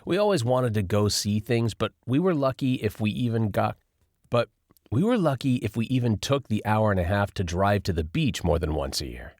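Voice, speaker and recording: A man narrating a first-person nonfiction audiobook in an expressive, lively reading style. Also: lowish voice, moderate pace, dry recording, clean studio sound.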